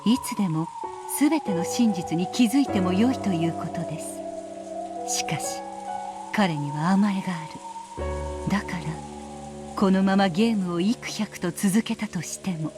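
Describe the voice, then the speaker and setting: A woman playing a stern, motherly witch mentor in a Japanese visual novel, speaking in a measured, solemn, lecturing way. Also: medium pitch, music in the background, natural voice. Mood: grave, lecturing.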